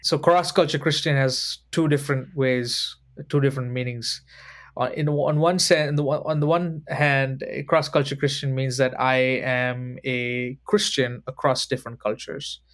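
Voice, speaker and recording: A man in his thirties from Sri Lanka, a podcast guest who speaks in a measured, thoughtful way and slows down to choose his words. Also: medium pitch, steady tone, fairly bright tone. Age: 30s. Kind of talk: reflective conversation.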